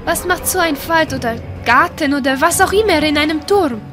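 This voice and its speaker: A woman's voice: high-pitched, a little fast, over music, close to an everyday voice. A woman playing an uneasy, questioning young adventurer in a fantasy audio drama. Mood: doubtful. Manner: questioning, doubtful.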